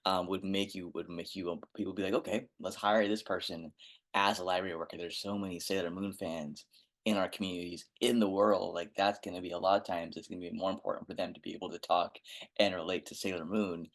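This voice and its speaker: A man with a very low voice talks as a podcast guest. He is quiet but eager, trails off and restarts often, and circles back to his point. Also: level tone, brisk. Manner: enthusiastic, rambling with many restarts and fillers; says he has a quiet speaking voice. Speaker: guest librarian. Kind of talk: casual podcast interview.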